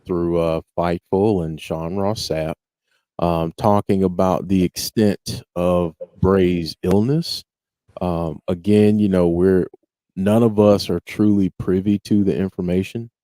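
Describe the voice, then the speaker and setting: A man's voice: deep voice, steady tone, takes it slowly, warm tone, no echo. A man hosting a podcast in an easygoing casual chat, with frequent fillers. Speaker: podcast host.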